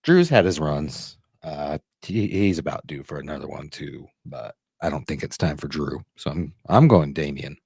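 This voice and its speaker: A man's voice: extremely low pitch, animated, moderate pace, gravelly, few pauses, a little mellow, slightly rough, no room sound, studio quality. A man co-hosting a podcast, giving his predictions in casual, conversational sports talk. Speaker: podcast co-host.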